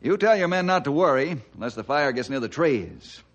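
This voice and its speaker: An actor playing an upright, steady forest ranger, earnest and authoritative, in an old-time radio adventure. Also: male voice, a little high, brisk. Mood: friendly, earnest.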